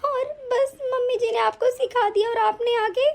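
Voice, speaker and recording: A woman acting a high-pitched, wronged young wife. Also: moderate pace. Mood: tearful, pleading. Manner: pleading, indignant. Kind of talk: domestic family melodrama acting.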